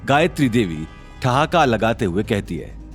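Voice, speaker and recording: Dramatic male storyteller, animated delivery. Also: medium pitch, unhurried, faint music behind. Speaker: narrator. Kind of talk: dramatic scripted Hindi story narration.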